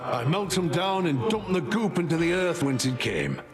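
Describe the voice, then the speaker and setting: A man voicing a gruff, hard-working underworld god in a video game. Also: a little high, sing-song, moderate pace, music in the background. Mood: matter-of-fact.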